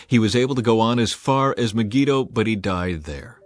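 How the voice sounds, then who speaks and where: A man's voice: animated, a little fast. A man reading a dramatized Bible passage as narrator, lifting his voice to act out the quoted speakers. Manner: acts out quoted speakers by raising pitch and volume. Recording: soft music bed behind the voice.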